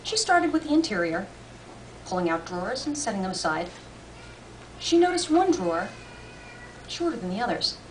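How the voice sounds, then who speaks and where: A woman reading a story aloud as expressive scripted narration. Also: medium pitch, moderate pace, long pauses. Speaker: story reader. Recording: distant mic, faint room noise.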